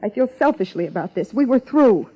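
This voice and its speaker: A woman's voice: on the high side, very animated, a little fast, breathy edge. An American woman playing a bitter, guarded wife of a missing man in 1950s radio drama, speaking formally and evasively. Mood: bitter, defiant. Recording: muffled 1950s radio broadcast recording.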